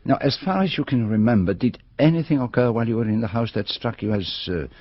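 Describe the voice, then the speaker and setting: A polite, probing foreign detective of mature years played by a male actor, formal and precise in a radio mystery. His voice is husky and swings widely in pitch, with a slightly muffled lo-fi recording. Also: expressive, fast, dry recording, natural voice. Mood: inquisitive, calm. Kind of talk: radio mystery drama acting. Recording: dull, lo-fi radio drama recording.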